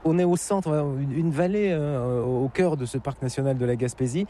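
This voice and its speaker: A man hosting a travel radio program, speaking in a chatty, playful manner with a mellow, warm tone. He is recorded outdoors in a park, with faint ambience behind him. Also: medium pitch, moderate pace, a little hiss or hum. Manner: chatty, playful, scripted narration segments.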